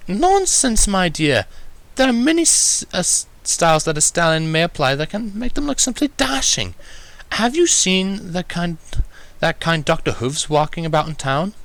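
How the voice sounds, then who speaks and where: Man putting on a fussy refined lady unicorn, high-pitched, swooping, genteel, theatrical, gushing storybook character. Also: very animated, a bit bright, no echo, full character voice. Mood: breezy, persuasive. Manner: theatrical, genteel, drawn-out emphasis.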